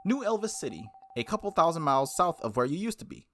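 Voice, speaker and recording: A man voicing a sweet, frank and gently teasing young woman in a visual novel. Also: a little high, very animated, fast, soft background music, voice pushed up. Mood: matter-of-fact. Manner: frank, teasing, matter-of-fact.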